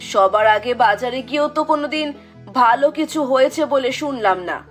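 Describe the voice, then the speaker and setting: A woman's voice: high-pitched, normal speed, soft background music, close to an everyday voice. A woman voicing a scolding, exasperated village wife in a fairy-tale cartoon. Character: nagging, fed-up village wife.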